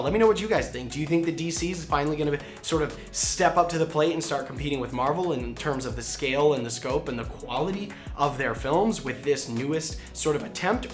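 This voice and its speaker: A man with a hoarse voice hosting a casual online gaming and entertainment news update, talking to viewers in an enthusiastic, emphatic, informal way. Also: a little high. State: hoarse from shouting. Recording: music under the voice. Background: background music.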